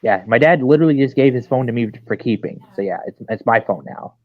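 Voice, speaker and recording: A man's voice: medium pitch, expressive, fast talker. A man who just woke up, calling into a livestream by phone, stammering and repeating himself. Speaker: caller on a livestream. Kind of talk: casual chat. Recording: dull phone audio.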